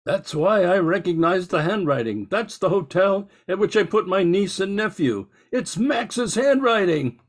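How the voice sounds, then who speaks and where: Male actor as pompous, fussy rich uncle, high-pitched voice, old-fashioned radio-play delivery. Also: lively intonation, a little slow, hardly any pauses. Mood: realizing. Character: stuffy, indignant old-fashioned wealthy uncle. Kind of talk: old-time radio drama acting.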